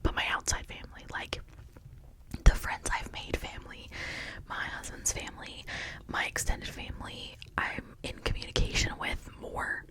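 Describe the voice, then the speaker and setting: A woman speaking in a breathy whisper, rambling in a reflective, earnest and confiding way as an ASMR creator. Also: normal speed, sharp tone, low-quality recording. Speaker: ASMR video creator and host.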